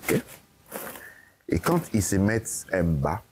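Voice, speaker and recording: A man's voice: deep voice, sing-song, slow, husky. A man giving lecturing interview answers, emphatic and repetitive, often checking with "ok?". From Italy. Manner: emphatic, repeats his points, checks with "ok?". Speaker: guest speaker.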